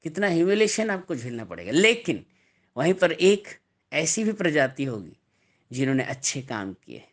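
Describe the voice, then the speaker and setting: A man preaching a sermon, deliberate and emphatic, repeating and explaining phrases as he reads scripture. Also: fairly high pitch, big pitch swings.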